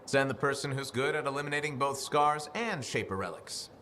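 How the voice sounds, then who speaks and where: An actor playing a dry, level-headed freelance adventurer in a video game, speaking in a casual, terse, wry way. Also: male voice, mid-range voice, brisk, bright, forceful tone, a little hiss or hum, lightly acted. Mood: ready, practical. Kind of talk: video game character dialogue.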